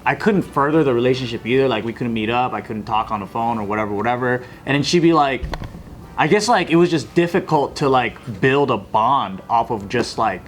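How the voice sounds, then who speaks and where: A man's voice: medium pitch, lively intonation, fairly quick, slight background noise. A man in his thirties telling a story in casual group chat on a podcast, laid-back, with many fillers. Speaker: podcast co-host.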